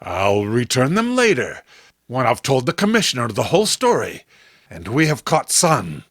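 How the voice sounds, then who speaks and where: A man voicing a desperate, horrified man trapped inside a doll, halting and melodramatic, in a horror comic. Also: medium pitch, wildly swooping pitch, fairly bright tone, dry recording, studio quality, voice pushed down, full character voice. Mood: desperate resolve. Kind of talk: horror comic read-along acting.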